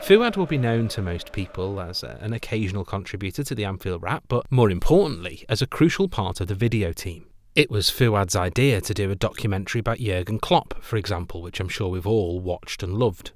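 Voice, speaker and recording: A man hosting a podcast, reading a measured introduction and asking questions. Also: on the low side, animated, no room sound, studio quality. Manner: measured, scripted intro then brief prompts. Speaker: podcast host. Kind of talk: podcast interview.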